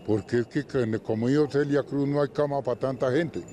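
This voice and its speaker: A man's voice: mid-range voice, steady tone, moderate pace, mellow, slight background noise. Chatty man on the street. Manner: colloquial, chatty. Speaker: passerby. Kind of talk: street interview.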